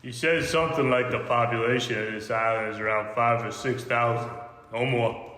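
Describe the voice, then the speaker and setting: A man with a bright, forceful voice reads his part in an amateur table read and banters casually. The room is echoey, with a long reverberant tail. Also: medium pitch, fairly even intonation, normal speed. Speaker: podcast co-host reading a part.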